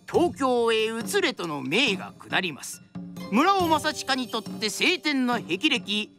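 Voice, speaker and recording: A woman narrating a scripted story in a formal, polite storyteller's manner. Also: on the high side.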